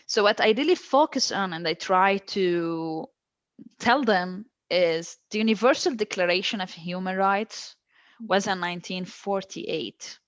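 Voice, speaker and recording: A woman's voice: medium pitch, a little slow, no room sound, highs cut off. A woman, a guest who answers with emphatic, repeated phrases. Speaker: guest, stateless-rights advocate. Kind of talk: earnest interview answers.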